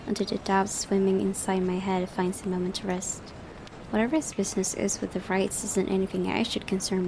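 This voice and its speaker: A woman's voice: medium pitch, creaky, background noise. A woman hosting a gaming video, reading visual novel narration aloud and adding casual commentary.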